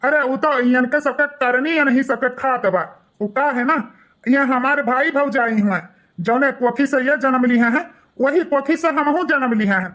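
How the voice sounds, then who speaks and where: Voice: sits high, moderate pace, a bit bright. A performer voicing a bitter, outspoken young village man in a cartoon moral story, taunting and reproachful, with an Awadhi accent.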